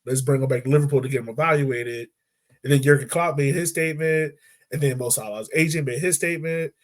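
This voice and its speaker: A man hosting a podcast, chatting about football in a relaxed, slangy way, full of "like". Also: mid-range voice, fairly quick. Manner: relaxed, slangy, repeats phrases with "like". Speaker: podcast host. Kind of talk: casual sports chat.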